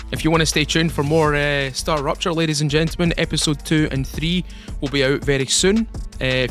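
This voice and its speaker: A young Scottish man talks through a game update in a loose, rambling way, repeating himself as his excitement builds. His voice sits in an ordinary middle range. Soft background music plays under him. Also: medium pitch. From Scotland. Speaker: gaming YouTuber. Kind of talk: casual game update commentary.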